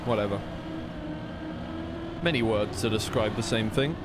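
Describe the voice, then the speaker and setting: A man's voice: on the low side, unhurried. A man giving live esports racing commentary in a conversational way, often second-guessing himself. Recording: clear background noise of vehicle sounds.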